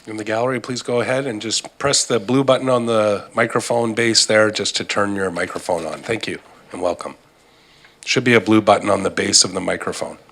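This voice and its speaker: A man chairing a regional board meeting, making brisk procedural calls with some light joking, on a desk microphone in a boardroom. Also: on the low side, gravelly, fairly bright tone. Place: council boardroom.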